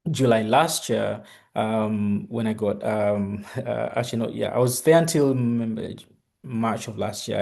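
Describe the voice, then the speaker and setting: A man's voice: lowish voice, gravelly, mellow. A middle-aged man from Uganda giving an academic presentation on a video call, hesitant, with many fillers and restarts. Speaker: guest speaker.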